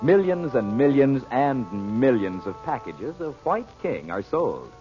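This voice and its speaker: A man announcing an old-time radio commercial with a conversational, smooth-talking sales delivery. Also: mid-range voice, sing-song, a little slow. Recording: muffled old radio recording with music.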